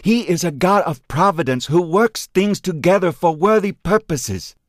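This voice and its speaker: A man voicing a calm, formal Chinese man who speaks carefully without contractions. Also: high voice, moderate pace, dry recording, studio quality, pitched above the performer's own voice. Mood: earnest.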